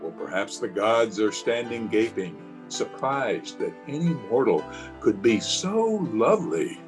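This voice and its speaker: A man's voice: lowish voice, very animated, measured pace, over music. A man playing a tender, adoring young bridegroom in an old-time radio drama. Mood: adoring, reassuring.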